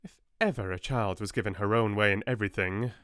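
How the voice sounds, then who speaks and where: A man voicing a stern, disapproving storybook headmistress with a sharp manner. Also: fairly low pitch, brisk, no room sound, studio quality, natural voice.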